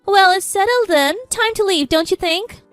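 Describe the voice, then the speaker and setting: Female narrator as scornful older matriarch, pitched high, haughty and cutting, storybook dialogue over music. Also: expressive, moderate pace. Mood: cold, dismissive. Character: cold, scornful older mother-in-law. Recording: background music under the voice.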